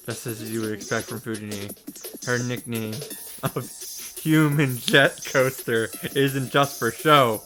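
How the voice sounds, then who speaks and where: A man recapping a visual novel as commentary, with sarcastic, sweary, exclamatory asides. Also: mid-range voice. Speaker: gaming YouTuber. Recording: music playing behind the voice.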